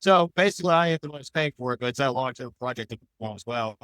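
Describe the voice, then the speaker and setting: Clear-voiced man, rambling conversationally. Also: mid-range voice, lively intonation, quick pace, a bit bright, no echo. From United States. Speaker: podcast guest, podcast creator. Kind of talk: conversational interview answers.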